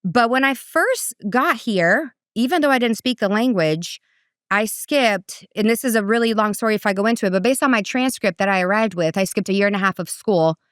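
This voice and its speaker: A middle-aged woman from Brazil, a parenting coach who tells her story in a casual, animated way, and her voice jumps up high when she quotes people. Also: brisk, clean tone, no echo, studio quality. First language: Portuguese.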